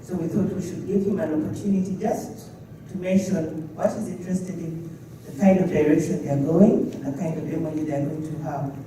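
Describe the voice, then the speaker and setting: Male moderator, courteous and halting; dark, echoing, distant sound. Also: fairly high pitch, steady tone. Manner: polite, hesitant, with frequent fillers. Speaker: event moderator. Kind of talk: conference announcement. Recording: echoey hall, distant mic, faint noise.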